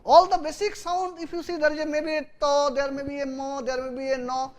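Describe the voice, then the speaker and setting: A university lecturer whose first language is Bengali, speaking English with an Indian accent and explaining patiently, stressing some words loudly and letting line ends fall quiet. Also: high voice, flat delivery, brisk.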